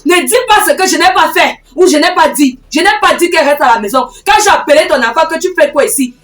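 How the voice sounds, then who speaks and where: A woman playing a defiant, sharp-tongued wife and strict stepmother in a heated domestic drama, combative and taunting. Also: sits high, expressive, fairly quick. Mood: argumentative. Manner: combative, taunting, talks back. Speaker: actor. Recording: roomy echo, distant mic, music.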